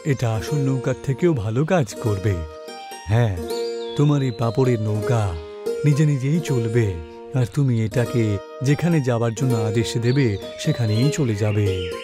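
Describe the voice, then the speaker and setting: Man playing a solemn, deep-toned holy man. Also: measured pace, long pauses, lightly acted. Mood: solemn, assuring. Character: solemn, commanding wandering holy man with magic powers. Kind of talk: dubbed cartoon acting. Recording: voice over background music.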